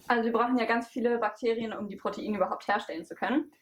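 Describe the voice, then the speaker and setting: A woman's voice: fairly high pitch, racing. A woman presenting as a scientist in an educational film, talking through steps in semi-scripted dialogue. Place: laboratory. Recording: faint background noise with clicks.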